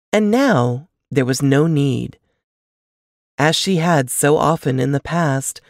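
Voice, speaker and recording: A woman narrates fiction in an audiobook style. Her delivery is measured and expressive, with a very animated melody that rises and falls through the phrases. The sound is dry, with little room around the voice. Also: low voice, vocal fry, dry recording. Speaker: author reading aloud from a novel.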